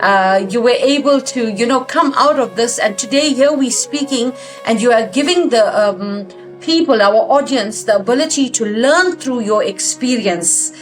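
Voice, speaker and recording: A woman with a fairly high voice addresses the audience as a talk host. She is earnest and advisory, phrasing things in a halting, measured way over faint music. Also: animated.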